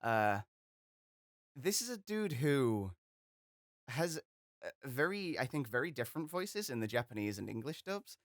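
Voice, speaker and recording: A man's voice: mid-range voice, sing-song, unhurried, long pauses, dry recording, studio quality. A young man chatting casually as host of a voice acting video, rambling and self-deprecating. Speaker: voice acting YouTuber. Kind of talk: casual chat.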